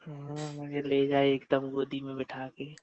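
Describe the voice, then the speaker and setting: Dull phone-call recording, flirty even-toned man. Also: mid-range voice, measured pace. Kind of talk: flirtatious casual phone chat.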